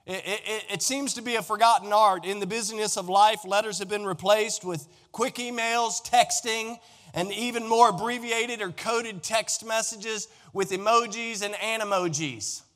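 A man's voice: high voice, normal speed, a bit bright. A middle-aged American man preaching a sermon in a conversational, emphatic way, putting rhetorical questions to his listeners. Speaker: pastor. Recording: echoey hall with long reverb.

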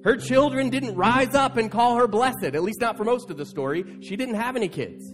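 A man's voice: very high voice, moderate pace, soft background music. A man preaching as a pastor to his congregation, retelling scripture in a conversational, storytelling way and acting out casual paraphrased quotes. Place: church, speaking to an assembled congregation.

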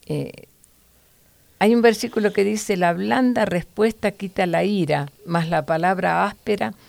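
A woman's voice: medium pitch, a little slow, gravelly, a little mellow, no room sound. A woman teaching from the Bible to other women in an earnest, preachy way, with fillers and restarts.

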